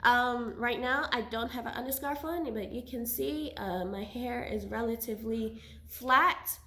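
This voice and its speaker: Woman, 30s, slightly high voice, just over a cold, chatty vlog talk. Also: a little slow, bright, forceful tone. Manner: chatty, friendly, sprinkles religious phrases. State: recovering from a cold. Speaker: hijab seller and vlogger. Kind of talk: casual hair care vlog. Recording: echoey room.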